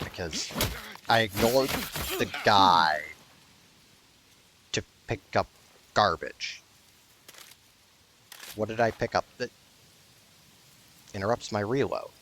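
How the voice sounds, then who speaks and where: A man's voice: on the low side, lively intonation, slow pace, long pauses, sharp tone, a little hiss or hum. A man hosting a gaming video with casual, rambling, mildly profane unscripted commentary.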